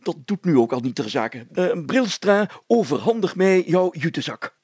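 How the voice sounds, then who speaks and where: A man's voice: a little high, sing-song, normal speed, darkish tone, husky, dry recording, pitched above the performer's own voice. Man putting on a pompous, fussy village mayor. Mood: solemn, commanding. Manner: pompous, stammering, formal wording with self-corrections.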